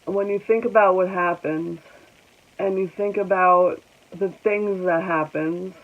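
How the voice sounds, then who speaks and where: A woman in her forties speaking slowly in a personal vlog, congested, halting, and pausing often. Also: lowish voice, fairly even intonation, phone-quality sound. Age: 40s. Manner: halting, reflective, with many pauses and ums. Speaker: vlogger. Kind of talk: personal vlog talking to viewers.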